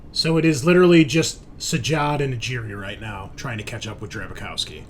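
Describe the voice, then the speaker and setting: A man's voice: mid-range voice, fairly quick, a little hiss or hum. A man running a tabletop roleplaying game as game master, talking in a casual, conversational way.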